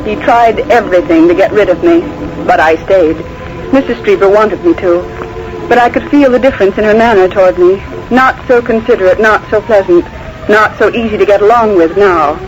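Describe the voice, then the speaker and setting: An actress playing a guarded, quietly defiant young woman with cool, polite manners in a 1940s radio mystery. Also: mid-range voice, a little fast, muffled recording, natural voice. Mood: grave, resolute. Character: guarded, principled young female script reader, quietly defiant. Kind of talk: 1940s radio acting with first-person narration. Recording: noisy vintage 1940s radio broadcast.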